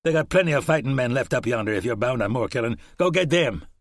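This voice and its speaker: A man voicing a tough frontier man who talks in a blunt, crisp drawl. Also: mid-range voice, lively intonation, fast, no room sound, clean studio sound, voice pushed up, natural voice.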